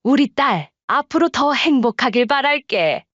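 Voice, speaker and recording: A woman's voice: high voice, expressive, unhurried, fairly bright tone, dry recording, muffled recording, exaggerated voice. A woman voicing a resolute middle-aged mother-in-law who speaks firmly and bluntly. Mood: tender. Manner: firm, blunt.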